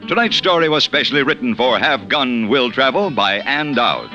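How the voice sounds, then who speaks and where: A man announces old-time radio credits with lively, polished intonation. His delivery is formal, set over prominent brass theme music. The 1950s recording is somewhat dull. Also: mid-range voice, moderate pace. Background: loud brassy theme music.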